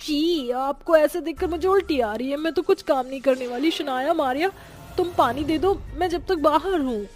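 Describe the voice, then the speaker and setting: A woman's voice: high voice, normal speed, darkish tone, voice pushed up, close to an everyday voice. A woman voicing a selfish, haughty young witch daughter in a cartoon story. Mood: disgusted, dismissive. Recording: music behind the voice.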